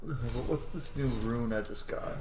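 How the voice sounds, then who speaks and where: A man's voice: mid-range voice, measured pace, echoey room, noisy background. A man playing a video game and thinking aloud in casual, sweary commentary. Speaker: gameplay streamer. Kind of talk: casual let's play commentary. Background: game music.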